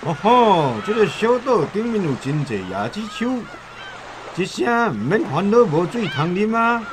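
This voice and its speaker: A man's voice: high voice, big pitch swings, a little slow, noisy background. A man with a Taiwanese accent playing a skinny, boisterous soldier villain in a radio drama.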